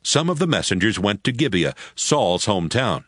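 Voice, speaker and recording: A man's voice: fairly low pitch, sing-song, moderate pace, a bit bright, no room sound, clean studio sound. A man narrating an audio Bible drama as a scripted, dramatic storyteller.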